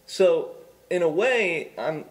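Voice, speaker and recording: A man's voice: fairly high pitch, lively intonation, slow, rough recording. A man in his thirties co-hosting a golf show, talking casually in a small studio with many stammering restarts. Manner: frequent stammering restarts and agreeing fillers. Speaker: golf instructor and co-host. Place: small studio set with a backdrop.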